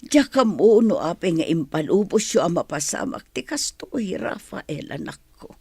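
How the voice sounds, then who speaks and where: A sorrowful older village mother in a radio drama. Her voice is husky and plaintive, swinging widely in pitch with feeling, and recorded close and dry. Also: very animated, measured pace, warm tone, no room sound, exaggerated voice. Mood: grieving. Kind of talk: Ilocano radio drama acting.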